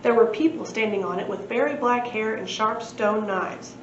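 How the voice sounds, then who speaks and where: A woman's voice: fairly high pitch, moderate pace. A woman narrating a children's storybook as a library read-aloud. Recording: echoey room, muffled, faint noise.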